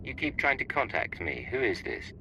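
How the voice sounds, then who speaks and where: An actor playing a cool, skeptical secret agent in a video game, dry and questioning. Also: male voice, mid-range voice, huge pitch swings, normal speed, bright, forceful tone, a little hiss or hum, heavily acted, low-quality recording. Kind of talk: cinematic video game acting.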